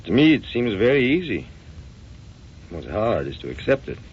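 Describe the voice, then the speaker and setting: An actor playing a patient, steady American doctor in an old-time radio drama, speaking in a measured, soothing way. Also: male voice, breathy edge, heavily acted. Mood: frank, measured. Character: patient, steady male doctor, gently frank. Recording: dull radio recording with low rumble.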